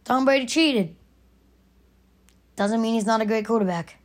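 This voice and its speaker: A raspy young woman hosting her own podcast, arguing her opinion emphatically and repeating her points. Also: on the high side, normal speed. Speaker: podcast host. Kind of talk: opinionated solo podcast rant.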